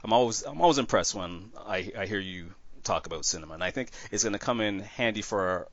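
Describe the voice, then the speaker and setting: A man's voice: lowish voice, fairly quick, fairly bright tone, muffled recording. A man hosting a film podcast, introducing his guest and chatting in a friendly, conversational way. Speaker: podcast host.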